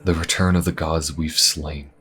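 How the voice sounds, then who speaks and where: A man playing a calm, shrewd adventurer and secret agent in a fantasy audio roleplay, speaking in a measured, hesitant, conspiratorial way. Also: extremely low pitch, faint music behind. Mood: earnest, conspiratorial. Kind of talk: fantasy audio roleplay, intimate conversational acting.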